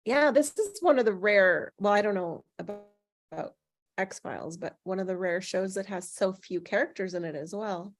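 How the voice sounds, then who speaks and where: A woman's voice: medium pitch, normal speed, no echo. A woman talking casually in a group conversation.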